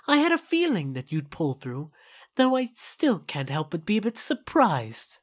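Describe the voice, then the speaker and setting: An actor voicing an elegant, stern owl demon prince in an audio drama, with formal, deliberate, courtly speech. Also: medium pitch, huge pitch swings. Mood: suave, probing. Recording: music under the voice.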